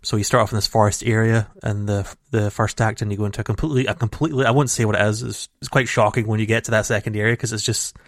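A man's voice: fairly low pitch, steady tone, fast, hardly any pauses, no room sound. A man co-hosting a casual gaming podcast, talking in long rambling runs full of restarts, recorded raw on a home computer mic. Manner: rambling, many restarts and fillers. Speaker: podcast co-host. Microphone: home computer mic over a call. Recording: dry raw home audio.

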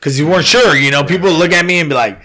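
A man's voice: on the high side, rapid-fire, sharp tone. Slangy young man telling a fight story. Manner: slangy, profane storytelling with many fillers. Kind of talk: casual podcast chat.

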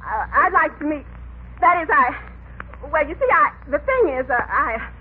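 An actress playing a shy, flustered married woman in an old radio comedy, speaking haltingly and nervously. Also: high-pitched, very animated, heavy background noise. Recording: thin, muffled old radio recording.

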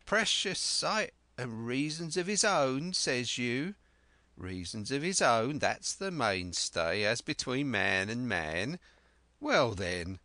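A man voicing a half-wild old male castaway who speaks in a rough sailor's dialect, eager and cunning. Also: mid-range voice, very animated, takes it slowly, sharp tone, no echo, heavily acted. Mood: anxious.

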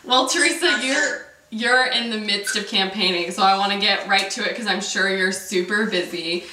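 Bright-voiced female interviewer in an echoey room. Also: mid-range voice, moderate pace. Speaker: podcast co-host, interviewer. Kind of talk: conversational interviewing. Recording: echoey room, distant mic.

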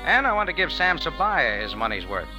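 An actor playing a tough, wry cafe owner turned sleuth in a 1940s radio drama, talking and narrating in a clipped, hard-boiled way. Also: male voice, a little high, big pitch swings, brisk, music in the background, rough recording. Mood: tense, wry narration.

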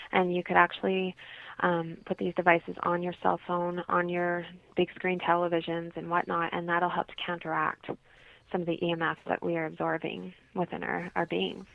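A woman's voice: fairly low pitch, vocal fry, no room sound. A woman giving explanatory interview answers as a holistic healer, heard over a narrow phone-like line.

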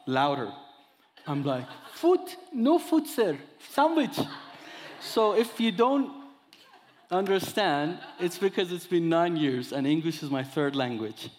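A man in his thirties from Iran, speaking English with an Iranian accent, giving an expressive sermon that mixes scripture with his own testimony. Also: high-pitched, long reverb tail. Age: 30s. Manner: expressive storyteller, acts out dialogue, audience laughter. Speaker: guest speaker.

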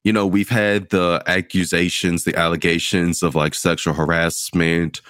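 A man hosting a casual gaming discussion, talking in a measured way with plenty of fillers as he steers the topic. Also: low voice, flat delivery, fairly bright tone, dry recording, studio quality. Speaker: host.

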